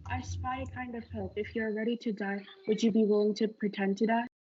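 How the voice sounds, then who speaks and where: A young woman as mellow, calm scheming friar. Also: fairly high pitch, fairly quick, highs cut off, slight background noise, lightly acted. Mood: secretive, instructing. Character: Friar, calm, scheming male monk and confidant. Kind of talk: stage-play reading.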